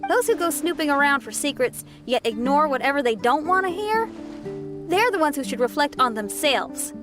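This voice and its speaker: High bouncy little kid sidekick, earnest n casual, anime game, music playing. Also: female voice, lively intonation, normal speed. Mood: earnest, supportive. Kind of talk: anime video game voice acting.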